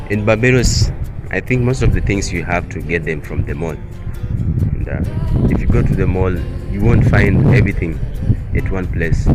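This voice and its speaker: A young Kenyan man with a Kenyan accent, talking casually in a personal vlog, unhurried and pausing often with "uh". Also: low-pitched. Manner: unhurried, frequent "uh" pauses, list-like repetition. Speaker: vlogger.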